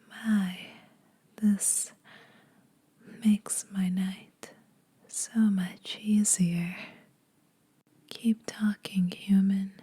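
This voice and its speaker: A woman playing a menacing, teasing vampire huntress in a soft, breathy ASMR whisper, slow, deliberate and condescending. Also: mid-range voice, exaggerated voice. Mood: mocking, amused.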